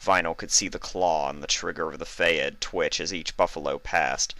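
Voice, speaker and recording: A man with a deep voice narrates a piece of fan fiction. His tone has a bright, forceful edge. The sound is close and very dry, as scripted reading. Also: fairly even intonation, normal speed, no echo.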